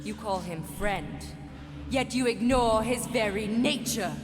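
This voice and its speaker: Vengeful monster queen for a game, high-set, deliberate, scornful authority, reverberant with music. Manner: slow, haughty, menacing pronouncements. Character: imperious, vengeful monster queen with a private army. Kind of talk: dramatic video game acting. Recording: echoing hall, music behind.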